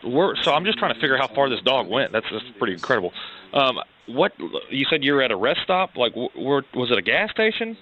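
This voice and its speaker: A man working as a police detective, informally questioning someone on a call in a halting, probing way. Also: mid-range voice, very animated, lo-fi sound.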